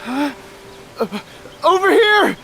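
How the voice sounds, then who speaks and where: A man playing an excitable, earnest young male inventor in a video game cutscene. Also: very high-pitched, slow pace, creaky, full character voice. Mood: urgent. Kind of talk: animated game cutscene dialogue. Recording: loud music behind the voice.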